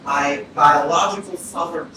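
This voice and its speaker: A woman's voice: deep voice, measured pace. A woman playing a troubled, guarded man answering police questions hesitantly in a staged play reading. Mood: uneasy. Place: arts centre theatre. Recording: echoey theatre, distant mic, room noise.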